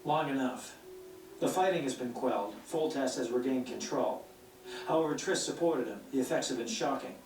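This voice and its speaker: An actor playing a theatrical, eloquent bard in a video game, speaking with a storyteller's dramatic, wry phrasing. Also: male voice, mid-range voice, normal speed, darkish tone, slight background noise, natural voice. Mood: concerned, wry. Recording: roomy game playback, distant, music underneath.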